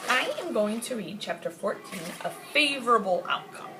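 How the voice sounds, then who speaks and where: A woman's voice: a little high, expressive, moderate pace. A woman reading a children's chapter book aloud as narrator, expressively. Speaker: story reader. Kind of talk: expressive scripted storybook read-aloud. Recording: clearly noisy amateur recording.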